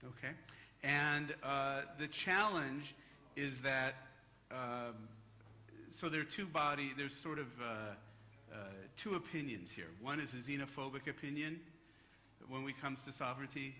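A middle-aged man, a native English speaker, giving a relaxed conference talk at a podium with frequent fillers. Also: mid-range voice, unhurried, a little hiss or hum. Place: hotel conference hall. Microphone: podium microphone.

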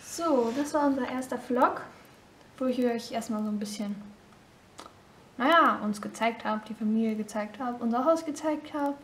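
A chatty thirty-something mother giving a home tour in a homemade toy-figure film, voiced by a girl. The voice sits high and is recorded in a roomy, echoey space. The delivery is casual and friendly. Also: moderate pace, slight background noise, close to an everyday voice. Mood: chatty cheerful. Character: cheerful mother of two, thirty-three-year-old housewife. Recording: roomy echo.

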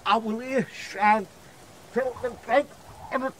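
An actor playing a cowardly, curious clown in a Shakespearean comic audio play, exclaiming and musing aloud theatrically. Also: male voice, extremely high pitch, fairly even intonation, slow pace, a crowd in the background, low-quality recording. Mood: panicked. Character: jittery, curious, cowardly male clown castaway. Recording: clear background storm noise.

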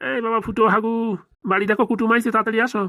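A woman's voice: a little high, little pitch movement, racing, a bit bright, no echo, clean studio sound. A woman voicing a friendly, inquisitive older village uncle in a comic cartoon, chatty and folksy. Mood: friendly, curious.

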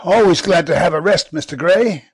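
A man's voice: sits high, moderate pace, slightly rough, no echo. An actor playing an eager, deferential tradesman, a frame-maker, in an audiobook drama.

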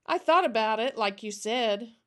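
Eager, high-pitched little girl. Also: big pitch swings, normal speed. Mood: earnest. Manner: bouncy, questioning, earnest. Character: eager, earnest, curious little girl, servant's daughter.

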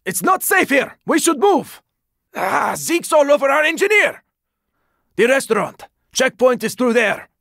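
Video game soldier, very high for a man, husky, terse, sardonic, swinging pitch, dry close sound. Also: very animated, unhurried, bright, forceful tone, dry recording, exaggerated voice. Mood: tense, alert. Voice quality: raspy, gravelly. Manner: terse, urgent, swearing. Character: gruff, weary, sardonic male squad fighter in zombie apocalypse. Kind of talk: video game character combat barks.

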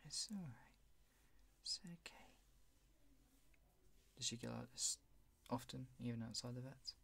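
A soft-spoken ASMR roleplayer playing a gentle horse veterinarian, speaking in a soothing, reassuring manner close to the microphone. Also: medium pitch, measured pace, gravelly, slightly rough.

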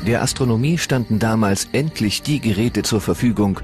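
Male documentary narrator, low register, measured and solemn, music bed underneath. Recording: voice-over with loud music behind.

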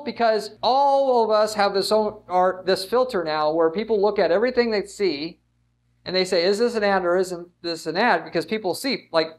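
A man in his thirties giving an informal marketing lesson in an emphatic, conversational manner, speaking into a lapel mic. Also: high voice. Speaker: marketing instructor. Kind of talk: informal whiteboard lesson, explaining to camera. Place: indoors in front of a whiteboard.

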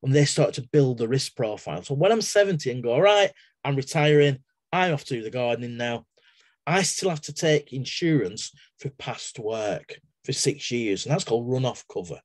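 A middle-aged Englishman explains things to a webinar audience, chatty and digressive, with lots of restarts. His voice sits at an ordinary middle pitch. The sound is close and dry, picked up by a laptop webcam in his home study. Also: animated, normal speed, dry recording. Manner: chatty, digressive, with everyday analogies and frequent restarts. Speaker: webinar guest speaker, exam assessor. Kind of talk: casual explanatory talk in a webinar. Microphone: laptop webcam on a video call.

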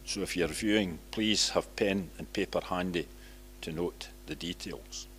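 An older man in his seventies with a Scottish accent, reading church notices formally and a little haltingly at a lectern microphone. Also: a bit bright. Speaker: church announcer. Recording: low hum and background noise.

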